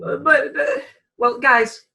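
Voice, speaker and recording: A woman's voice: high-pitched, fairly even intonation, slow, creaky, bright, forceful tone, heavily acted. A woman playing a flustered, officious fairy tale narrator in a comedic radio play, exasperated and pompous. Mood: flustered, pleading. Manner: exasperated, hesitant when pleading, pompous when commanding.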